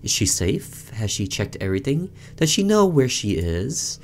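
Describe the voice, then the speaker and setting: A man's voice: medium pitch, very animated, moderate pace. A man doing let's play commentary, reading a visual novel aloud theatrically and breaking off for offhand asides.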